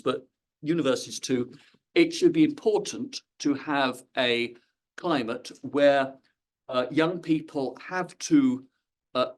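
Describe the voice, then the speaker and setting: Middle-aged man, hesitant panel speaker. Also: mid-range voice. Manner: hesitant, many ums and repeats. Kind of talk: panel discussion.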